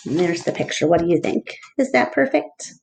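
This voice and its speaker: A woman teacher reading a storybook aloud to her class in a deliberate, expressive way, addressing the children directly. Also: on the low side, gravelly, slight background noise. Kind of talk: storybook read-aloud narration with questions to children.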